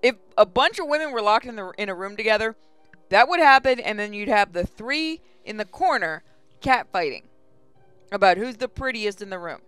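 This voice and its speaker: A man's voice: high-pitched, animated, moderate pace, a bit bright, clean tone, dry recording, faint music behind. A man reading a game's text aloud and commenting sarcastically, swearing often. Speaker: let's play host.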